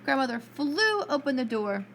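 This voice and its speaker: A woman reading a storybook aloud to preschool children in an expressive storyteller's style. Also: sits high, moderate pace, a little hiss or hum.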